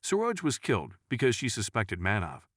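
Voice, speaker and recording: A man's voice: lowish voice, huge pitch swings, brisk, a bit bright, no echo, studio quality, heavily acted. A man voicing a determined, agitated male friend set on confrontation in a crime story.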